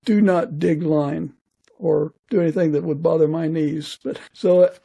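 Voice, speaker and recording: A man's voice: on the high side, fairly even intonation, measured pace, creaky, mellow. A middle-aged or older man with an American accent, hosting a casual podcast interview.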